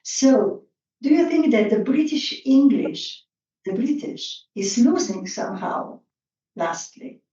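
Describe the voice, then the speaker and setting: A woman's voice: fairly high pitch, animated, slow pace, mellow. A woman moderating an open symposium discussion, speaking informally to the participants. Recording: very echoey, distant, slightly dull microphone.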